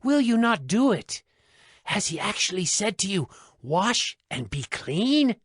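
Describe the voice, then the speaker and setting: An actor playing a loyal, pleading servant in a dramatized scripture production. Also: male voice, high-pitched, very animated, unhurried, a bit bright, husky, no echo, clean studio sound, heavily acted. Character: pleading, loyal servant reasoning with his master. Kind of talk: dramatized scripture audio acting.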